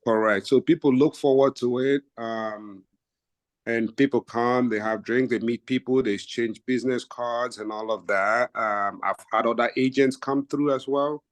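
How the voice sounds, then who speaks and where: A man speaks in an even, mid-pitched voice, laying out his steps one after another with a few fillers. The sound is close and dry over a video call, with no room around it. He comes across as an agent explaining his routine to colleagues. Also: normal speed, no room sound. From Nigeria. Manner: steady, methodical, stacks up examples with fillers. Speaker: real estate agent. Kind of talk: casual explanation in a team video meeting.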